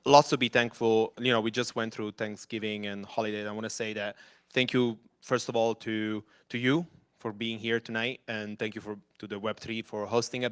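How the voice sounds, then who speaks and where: A man's voice: on the low side, a bit bright, clean tone. A man from Italy with an Italian accent, giving a loose, conversational conference talk at an event venue on a handheld mic, with frequent restarts and fillers. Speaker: company executive presenting.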